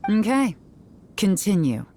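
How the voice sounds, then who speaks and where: A woman's voice: mid-range voice, sing-song, slow pace, warm tone, slight background noise. A woman voicing a stern, self-assured female investigator in a video game, commanding, composed and wry. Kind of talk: dramatic video game voice acting.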